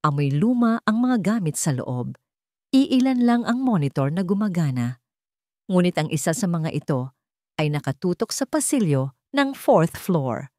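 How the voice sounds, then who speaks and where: A woman's voice: lowish voice, big pitch swings, measured pace, mellow, dry recording, clean studio sound. A woman with a Filipino accent narrating a scripted dramatic story.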